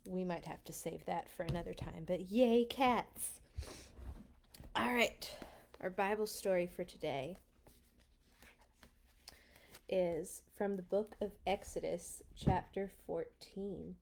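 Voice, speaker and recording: A woman reading stories aloud to children in an expressive, playful way, with casual asides. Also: on the low side, gravelly, a little hiss or hum. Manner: playful, animated in telling. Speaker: children's storyteller at a church read-along.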